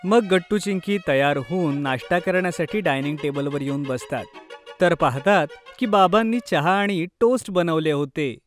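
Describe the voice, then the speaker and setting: Male narrator, high register, scripted kids' story, music bed. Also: expressive, moderate pace.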